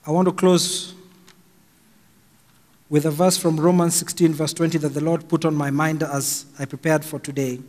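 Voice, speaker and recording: A middle-aged Kenyan man preaching a slow, emphatic sermon from a pulpit stand mic in a large church hall. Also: on the high side, little pitch movement, a little mellow. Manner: slow and emphatic, raising his voice for stress. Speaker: pastor. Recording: long hall reverb, faint music.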